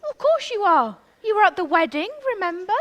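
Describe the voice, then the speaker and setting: Woman acting a haughty teen panto princess, super high voice, swoopy and theatrical, easily offended. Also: very animated, a little fast, fairly bright tone, heavily acted. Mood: indignant. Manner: theatrical, indignant. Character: indignant, haughty eighteen-year-old fairy-tale princess.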